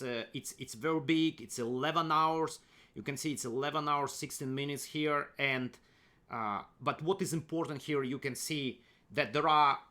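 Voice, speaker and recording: A man with a Russian accent, a chess grandmaster walking viewers through his courses in a casual, halting way full of fillers and restarts. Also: a little high, sharp tone. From Soviet Union (Kazakhstan). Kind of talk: casual screen-share walkthrough.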